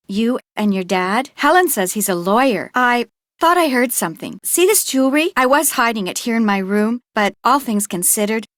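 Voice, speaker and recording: A woman's voice: on the high side, dry recording, studio quality, natural voice. A young woman actor playing an anxious, earnest innkeeper in a video game, with a fretful, confiding manner. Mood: secretive, pleading. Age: young adult.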